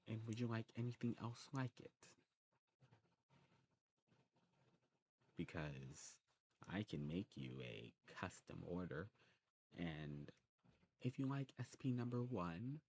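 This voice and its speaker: A man playing a courteous old demon shopkeeper in an ASMR roleplay, talking to the listener in a genteel way and musing with hums and pauses. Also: low voice, a little mellow, slight background noise. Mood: courteous, attentive.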